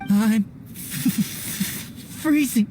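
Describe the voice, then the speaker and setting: A man voicing a meek, miserable adventurer in a video game, shivering with cold and stammering haltingly. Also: high-pitched. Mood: miserable, freezing. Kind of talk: animated game dubbing. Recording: clearly noisy background.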